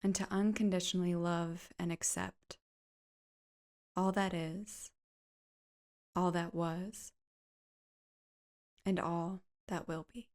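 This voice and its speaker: A woman leading a guided meditation in a soft, gentle, soothing way, with unhurried phrases that turn breathy at the ends. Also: fairly low pitch, little pitch movement.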